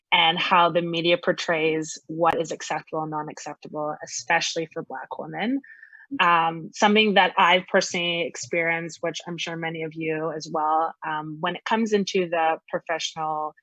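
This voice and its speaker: A woman's voice: low voice, a bit bright, dry recording, low-quality recording. A woman from Canada, polite and earnest, sharing her experience in a discussion over a video call. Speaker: discussion participant.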